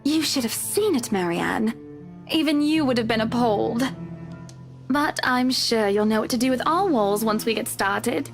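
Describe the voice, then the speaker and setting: A woman's voice: on the high side, lively intonation, moderate pace, soft background music. An actress playing a pushy, wealthy married socialite woman in a visual novel game, gushing and condescending. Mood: disdainful.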